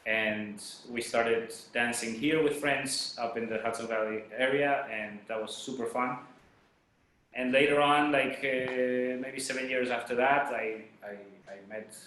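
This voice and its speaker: A man's voice: mid-range voice, fairly even intonation, measured pace, a bit bright, slight background noise. A man, a dancer speaking as a guest in a casual interview, hesitant with many uhs and restarts. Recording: very echoey room.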